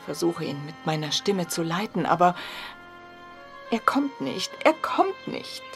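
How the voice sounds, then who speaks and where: A stern mother aching with grief speaks in an intense, dramatic radio-play manner. Her pitch moves widely and expressively. Music is clearly heard beneath her. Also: sing-song, unhurried, full character voice. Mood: anguished longing. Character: Glenda, grieving, stern mother longing for her vanished son. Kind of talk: period mystery radio play acting.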